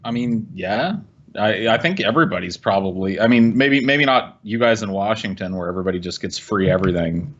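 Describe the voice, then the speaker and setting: A man's voice: on the low side, lively intonation, brisk, highs cut off. A man ranting casually as a podcast co-host, blunt, exasperated and full of swearing.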